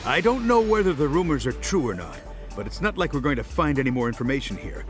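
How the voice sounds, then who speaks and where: An actor voices an earnest male investigator in a video game. He speaks quickly and courteously, with animated pitch over faint music. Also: natural voice. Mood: earnest, persuasive. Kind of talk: video game cutscene acting.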